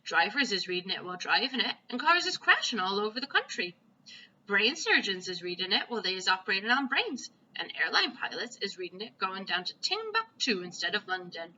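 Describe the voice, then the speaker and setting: A woman voicing an excitable, boastful child who tells wild dreams in broken grammar for a children's storybook. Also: medium pitch, brisk, muffled recording, slight background noise. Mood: boastful, excited. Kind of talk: children's storybook read-aloud.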